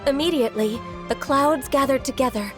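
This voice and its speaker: A woman voicing a gentle, polite, dutiful young half-immortal secretary in a video game, earnest and deferential. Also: high voice, fairly quick, lightly acted. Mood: earnest, reverent. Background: music.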